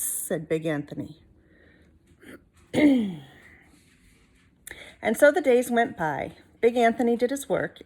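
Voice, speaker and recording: A woman reading a storybook to children like a teacher, expressively, pausing to put questions to the listeners. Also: medium pitch. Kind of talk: storybook read-aloud for children.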